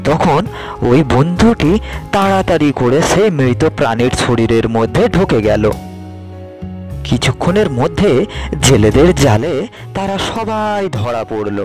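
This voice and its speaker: A man's voice: fairly high pitch, sing-song, measured pace, over music. A man narrating a scripted children's fairy tale as a storyteller.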